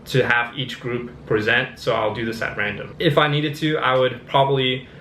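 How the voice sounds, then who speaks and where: A man's voice: medium pitch, fairly bright tone, a little hiss or hum. An American man from Missouri, an English teacher walking through a speaking lesson, explaining step by step and checking in with "okay". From United States, Missouri. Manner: explanatory, repeats "okay" and "so", acts out sample answers. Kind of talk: classroom lesson demonstration. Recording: echoey room with faint ambience.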